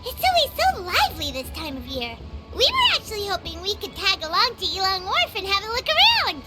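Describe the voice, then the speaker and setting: Very high, squeaky little fairy girl. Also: wildly swooping pitch, a little fast, over loud music, full character voice. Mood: perky. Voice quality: squeaky, childlike. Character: tiny childlike female fairy companion, perky and cheeky. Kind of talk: cartoonish video game voice acting.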